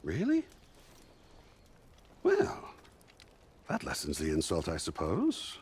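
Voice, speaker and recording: Pompous, theatrically swooping scholar priest. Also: male voice, deep voice, wildly swooping pitch, slow pace, darkish tone, breathy edge, exaggerated voice. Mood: grudging disdain. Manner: haughty, theatrical, archaic formal diction. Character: pompous, indignant, self-important male priest-scholar. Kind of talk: video game cutscene acting.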